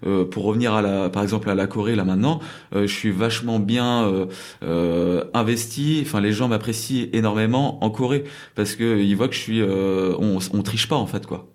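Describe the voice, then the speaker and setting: Low-voiced man telling stories casually. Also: normal speed, a little mellow. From France. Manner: rambling, many fillers and restarts. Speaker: crêperie owner in South Korea. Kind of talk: casual interview storytelling.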